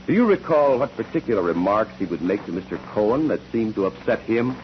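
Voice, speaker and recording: An actor playing a formal, relentless courtroom examiner who questions witnesses deliberately in a 1940s radio drama. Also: male voice, lowish voice, sing-song. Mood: insistent. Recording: muffled, noisy old 1940s radio recording.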